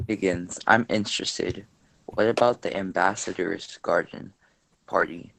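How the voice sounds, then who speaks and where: A man playing a courteous, curious gentleman captain in a play reading, measured and polite. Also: sits low, vocal fry, a little hiss or hum, exaggerated voice, rough recording. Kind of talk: reader's theatre play reading.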